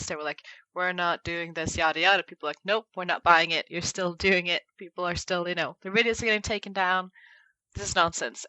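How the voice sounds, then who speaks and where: A woman's voice: on the low side, sharp tone, no room sound, poor audio. A woman co-hosting a podcast, telling stories in casual chat and acting out other people's reactions. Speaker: podcast co-host.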